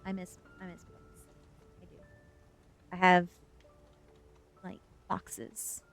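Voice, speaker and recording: A woman streamer in a soft ASMR style, chatting and reading a story aloud in a gentle, unhurried manner that is hushed at times. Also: lowish voice, completely flat delivery, vocal fry. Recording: music behind the voice. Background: background music.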